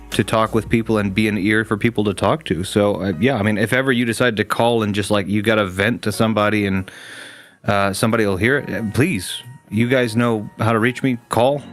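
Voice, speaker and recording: A man's voice: lowish voice, a bit bright. A man hosting a gaming stream, chatting casually with viewers in a rambling way, full of restarts and fillers.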